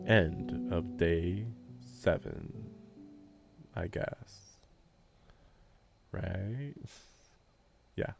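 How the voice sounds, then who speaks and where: A man with a slightly low voice streams a visual novel. He reads the text aloud and drops in offhand, wry commentary. Faint game music sits behind him. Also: drawn-out words, creaky, long pauses. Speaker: live streamer. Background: game soundtrack.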